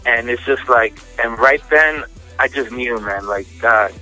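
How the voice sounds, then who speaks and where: A man with a bright, forceful voice tells his own story as an interview guest. His talk is casual and slangy, halting often and doubling back on words. Music plays faintly behind the phone interview. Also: mid-range voice, rough recording. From United States, born in New York, raised in Miami. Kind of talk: casual personal testimony in an interview.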